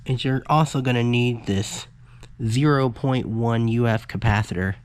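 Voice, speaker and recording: A man's voice: mid-range voice, a little slow, clean tone. A man giving a casual hands-on electronics tutorial, informal and muttering the odd aside as he works. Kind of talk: casual hands-on how-to narration.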